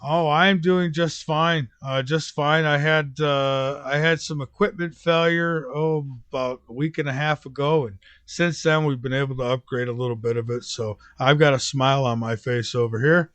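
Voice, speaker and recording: A man's voice: fairly high pitch, steady tone, normal speed, few pauses, a bit bright, clear, resonant tone, dry recording. A man hosting a radio interview in a folksy, chatty way, with fillers. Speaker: radio show host.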